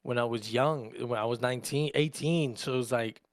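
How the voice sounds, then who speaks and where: A man's voice: mid-range voice, fairly quick, no echo, clean studio sound. A man in his early twenties, from Argentina and raised in Louisiana, chats casually as a podcast co-host, telling personal stories in slangy, sweary language. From Argentina, raised in Louisiana, USA. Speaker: podcast co-host and content creator.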